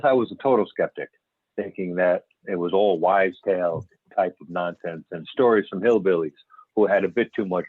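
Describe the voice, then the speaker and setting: A man's voice: low voice, no echo. A man playing an older, folksy and candid astronomer turned UFO investigator, telling his story in an amateur readers theater over a call. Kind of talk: amateur readers theater, scripted interview reminiscence. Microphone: phone or videoconference call. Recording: band-limited phone-like conference call audio.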